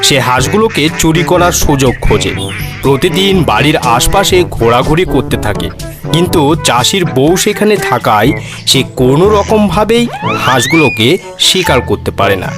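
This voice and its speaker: A man's voice: a little high, big pitch swings, normal speed, over music. A man narrating a children's cartoon story as a storyteller.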